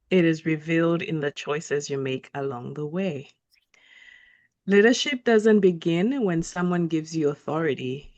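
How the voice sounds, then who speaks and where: A woman hosting an interview, reading a measured opening and then posing long, thoughtful questions. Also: deep voice, dry recording. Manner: measured, declarative, unhurried. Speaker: host. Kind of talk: interview questions, partly scripted intro.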